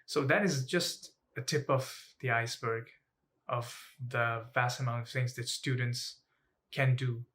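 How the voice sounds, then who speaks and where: Young male student speaker. Measured, pausing phrasing, level intonation, mid-range voice, earnest advocacy talk. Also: medium pitch, fairly even intonation, fairly bright tone. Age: young adult. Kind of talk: personal advocacy talk to camera.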